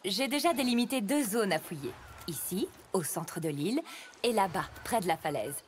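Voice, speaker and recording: A woman's voice: medium pitch, animated, moderate pace, a little hiss or hum, lightly acted. A woman voicing a friendly, careful scientist truck who instructs youngsters in a children's cartoon, over music. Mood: welcoming. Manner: instructive, clearly addressing children. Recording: music behind the voice.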